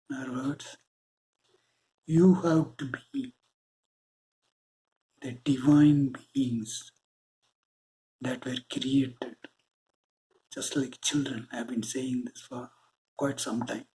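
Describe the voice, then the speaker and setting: A man with an Indian English accent delivers a spiritual talk at an unhurried pace. His voice is dark and mellow, and he speaks with earnest, deliberate pauses between phrases. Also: mid-range voice, fairly even intonation, rough recording. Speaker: spiritual channel host. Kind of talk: spiritual monologue.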